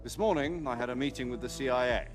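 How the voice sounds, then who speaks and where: Game casting: male actor for sly, reassuring officer, mid-pitched, assured delivery over a faint score. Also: fast talker, natural voice. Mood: calm, secretive. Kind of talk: dramatic video game voice acting. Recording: faint game music behind the voice.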